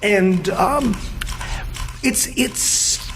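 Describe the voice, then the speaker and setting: A man in an animated panel conversation, speaking as a guest in an emphatic, declarative and joking way. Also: sits high, extremely slow, background noise. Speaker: interview guest.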